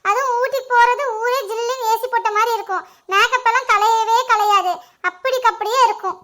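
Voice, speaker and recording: A woman's voice: very high-pitched, fairly even intonation, a little fast, pushed and bright. A woman playing a vain, playful young daughter who chatters and giggles about her makeup. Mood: chatty. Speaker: daughter in a family skit.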